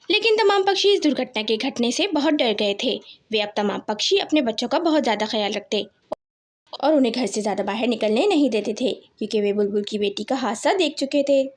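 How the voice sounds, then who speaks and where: A woman's voice: on the high side, lively intonation, brisk. A woman reading a scripted story for children as its narrator.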